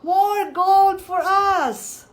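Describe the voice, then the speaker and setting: A man's voice: very high-pitched, extremely slow, fairly bright tone, voice pushed up, exaggerated voice. A woman voicing a greedy rich husband from a folktale, exclaiming with glee over his gold. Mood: gleeful. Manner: exclaiming, exultant.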